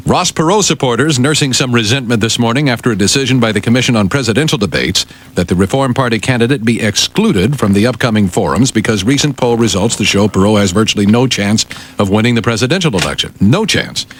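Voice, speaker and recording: A man's voice: fairly low pitch, expressive, quick pace. A man reading the news on a morning radio show, articulate and emphatic, with touches of banter. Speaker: radio newsreader and on-air sidekick.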